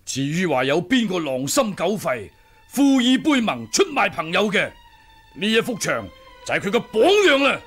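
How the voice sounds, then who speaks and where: An actor playing a gruff, suspicious old martial-arts master with a husky voice and a blunt, grumbling manner in a radio drama. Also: male voice, sits high, sing-song, normal speed, a bit bright, faint music behind, full character voice. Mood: scornful, defiant. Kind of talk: Cantonese radio drama acting.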